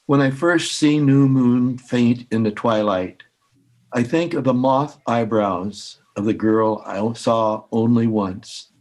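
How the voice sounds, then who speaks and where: Slow, halting man at a poetry reading. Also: mid-range voice, a little mellow. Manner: halting, unhurried, with pauses. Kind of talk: informal introduction and poetry read aloud.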